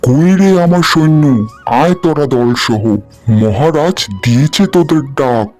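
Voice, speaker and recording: Regal, kindly cartoon shrimp king, played by a man; declamatory, very animated pitch. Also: unhurried, soft background music. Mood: grateful, grand. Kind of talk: cartoon fairy tale acting.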